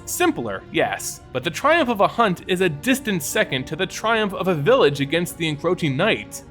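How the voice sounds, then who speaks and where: A man narrating a scripted story in a theatrical, suspenseful manner with deliberate phrasing. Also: fairly high pitch, fairly bright tone.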